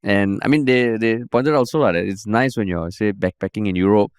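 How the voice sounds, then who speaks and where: A man's voice: lowish voice, a little fast, clear, resonant tone, no room sound, studio quality. A young man with a Singaporean accent co-hosting a podcast, easygoing and playful with slangy asides. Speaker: podcast co-host. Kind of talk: casual podcast chat.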